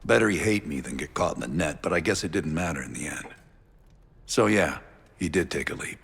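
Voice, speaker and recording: An actor playing a weary, guarded intelligence operative in a military game, with a gravelly, husky voice. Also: male voice, extremely low pitch, expressive, a little fast, a bit bright, long reverb tail, heavily acted. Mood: grim, guarded. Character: weary, guarded male CIA operative on the run. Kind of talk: cinematic military game acting.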